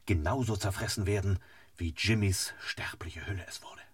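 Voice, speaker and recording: An actor playing a cool, unflappable private detective in an old crime radio play, wisecracking in a dry deadpan. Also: male voice, deep voice, moderate pace, slightly rough. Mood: dry, unruffled.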